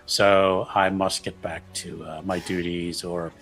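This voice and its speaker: A man's voice: low voice, level tone, a little slow, a bit bright, faint music behind, poor audio. A man voicing a humble, pious servant in a tabletop game, speaking in a cautious, formal and hesitant manner. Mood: cautious, grave.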